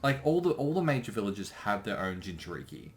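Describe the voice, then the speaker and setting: A man chatting casually as a commentator, thinking aloud in a halting way. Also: lowish voice, very animated. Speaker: creator giving episode commentary.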